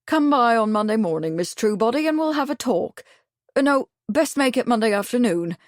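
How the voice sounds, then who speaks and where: A woman voicing a calm, resigned male village constable who speaks steadily and firmly. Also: on the high side, normal speed, no room sound, clean studio sound, pitched above the performer's own voice, natural voice. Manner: steady, firm.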